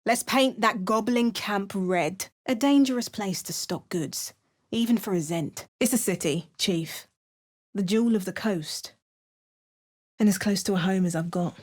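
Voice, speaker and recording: A woman's voice: mid-range voice, a little slow, natural voice. A woman voicing a wry, battle-hardened adventurer. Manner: dry, sardonic asides. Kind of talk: video game character barks and narration.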